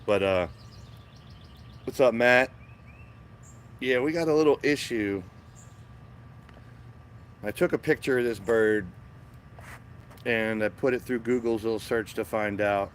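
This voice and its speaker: A man with a raspy morning voice hosting a casual live stream from his backyard garden, chatting and greeting viewers by name. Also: medium pitch, a little slow, pauses often, slight background noise. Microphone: small phone-mounted microphone.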